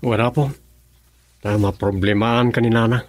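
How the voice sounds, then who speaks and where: A man's voice: mid-range voice, steady tone, normal speed, no echo, lightly acted. A man acting a worried young grandson in an Ilocano radio drama.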